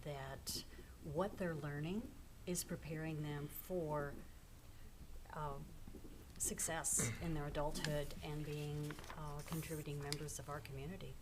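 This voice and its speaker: A woman speaking slowly and deliberately as a school board candidate. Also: deep voice, flat delivery, background noise.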